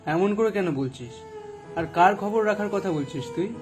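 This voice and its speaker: A man's voice: sits high, lively intonation, normal speed, over music. A man acting an earnest, pleading young man searching for a lost friend in an audio drama. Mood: pleading, defensive. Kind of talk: Bengali audio drama dialogue.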